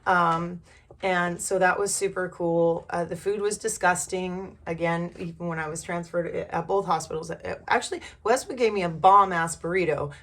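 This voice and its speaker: A middle-aged woman talks in a somewhat low voice, hoarse from being sick. She tells her own story in a loose, conversational vlog style. Her delivery is frank and informal, with mild cursing. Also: fairly bright tone. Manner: candid, informal, mildly profane. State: hoarse from a recent illness. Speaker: vlogger. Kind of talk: casual personal storytelling.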